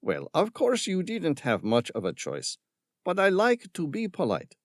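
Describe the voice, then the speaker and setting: A man's voice: high voice, very animated, measured pace, a little mellow, no echo, studio quality, pitched above the performer's own voice, exaggerated voice. A man voicing a greedy, sarcastic male villain in an audiobook, with a patronizing, mocking manner and an affected Spanish-tinged accent. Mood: falsely polite. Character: sneering, greedy male villain caretaker with gun. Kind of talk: audiobook character voice.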